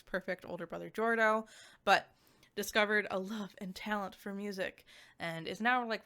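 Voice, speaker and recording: A woman giving a casual, conversational review to viewers, animated and peppered with "like" asides. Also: medium pitch, smooth. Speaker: visual novel reviewer.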